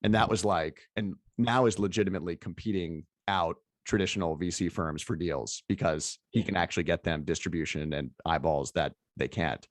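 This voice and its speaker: A man's voice: sits low, steady tone, moderate pace, hardly any pauses, no room sound. A man interviewing in a casual, rambling conversational style, circling his way toward each point. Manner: rambling, hedging phrasing with frequent "like". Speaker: interviewer, event host.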